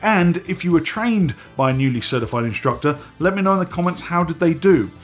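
A man in his forties hosting a casual vlog from a home studio, slightly hoarse and stuffy from a head cold, chatty and animated as he talks to the camera. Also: on the high side, fairly quick, soft background music. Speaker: host. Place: home studio room with dive gear on shelves.

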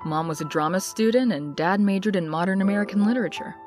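A woman playing a young woman confiding her painful past in an expressive, reflective comic dub narration. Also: medium pitch, fast, over music, natural voice.

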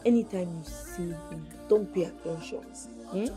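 A woman's voice: fairly low pitch, normal speed, music in the background, lightly acted. An actress with a Nigerian accent playing a protective, caring young woman, earnest and pleading in a film drama. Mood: gently firm.

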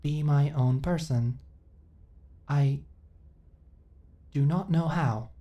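Woman as a low, mellow, formal female android. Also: extremely slow, muffled recording. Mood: flat, hesitant. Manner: stilted, formal, literal, emotionally flat delivery. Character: female companion android, earnest, uncertain, eager to serve. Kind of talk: ASMR roleplay audio drama.

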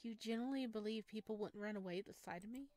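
A woman voicing a gentle, self-deprecating young male forest monster from a visual novel, soft-spoken and playfully teasing. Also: medium pitch, quick pace, no echo, close to an everyday voice. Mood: wry, teasing.

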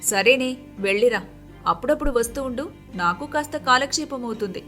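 A woman voicing a kind, generous wealthy young wife in an animated moral story, with a friendly, reassuring manner. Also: on the high side, fairly quick, faint music behind. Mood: friendly teasing.

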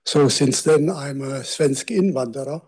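A man's voice: medium pitch, unhurried, darkish tone, breathy edge. An older man with a German accent, giving a public lecture from a lectern microphone in a university lecture hall, speaking conversationally with self-deprecating asides. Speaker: guest lecturer.

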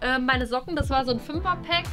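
A young woman with a high voice gives casual answers as an interview guest. Music plays clearly behind her. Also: fairly quick. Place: small furnished indoor room. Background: prominent background music.

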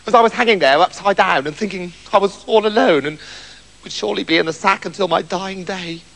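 A man's voice: high-pitched, creaky, fairly bright tone, heavily acted, rough recording. A man voicing a cowardly, pleading brother in a children's story, melodramatic and stammering. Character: cruel, cowardly brother turned pleading and gushing.

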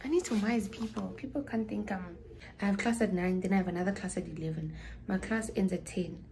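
A woman's voice: medium pitch, fairly quick, a little mellow. A young South African woman chatting to her phone camera in a small dorm room, rambling and dropping into whispered asides. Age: young adult. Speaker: student vlogger. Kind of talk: casual vlog chat to camera. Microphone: phone camera at arm's length.

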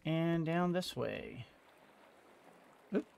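A man's voice: a little high, expressive, drawn-out words, creaky, a little mellow, clean tone. A middle-aged man giving casual gameplay commentary, thinking aloud as he goes. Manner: thinking aloud, muttering to himself. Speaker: let's play host. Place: small indoor home room. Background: game music.

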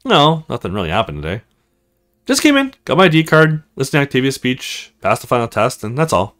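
Man reading as an awkward young recruit, animated. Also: medium pitch, a little fast.

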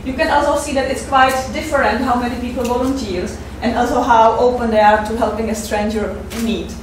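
A woman's voice: fairly high pitch, steady tone, slight background noise. Czech lady giving a talk, kinda far from the mic, lots of uhs, roomy echo. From Czech Republic. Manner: hesitant, many fillers, halting non-native English. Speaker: fundraiser giving a conference talk. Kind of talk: presentation talk. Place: seminar room with projector. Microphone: far camera mic. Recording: echoey room, picked up from afar.

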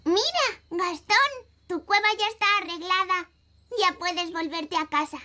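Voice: very high voice, measured pace, sharp tone, exaggerated voice. A performer voicing a sweet, earnest little fairy princess girl with a Castilian Spanish accent in a cartoon dub. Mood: sweet, cheerful. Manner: earnest, childlike.

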